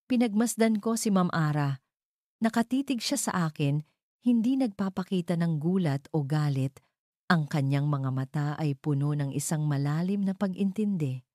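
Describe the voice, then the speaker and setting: A woman's voice: low voice, mellow, no echo, studio quality. A woman reads a scripted, dramatic first-person story as its narrator.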